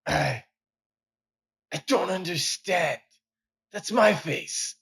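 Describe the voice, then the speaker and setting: A man's voice: high-pitched, extremely slow, gravelly, fairly bright tone, pitched above the performer's own voice, full character voice. A man playing a vengeful, scarred cowboy hunting an assassin in a short story, with melodramatic and emphatic delivery. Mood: bewildered.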